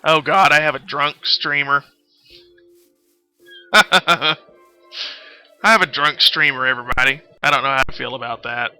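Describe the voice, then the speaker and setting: A man with a fairly high, strikingly bright and forceful voice streams a game and tells a story as he plays. He talks casually and wanders off track in an absent-minded way. Music plays clearly behind him. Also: steady tone, a little slow, pauses often. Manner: rambling, absent-minded, often losing his thread. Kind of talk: casual live-stream storytelling while gaming. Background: background music.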